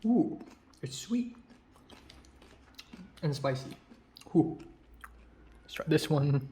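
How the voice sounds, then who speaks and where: Young man, casual chatty vlogger, slightly raspy. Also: a little high, very slow, slight background noise. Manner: relaxed, chatty, drifting between soft murmurs and louder remarks. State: slightly raspy voice after a long phone call. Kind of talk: casual food-tasting vlog chat.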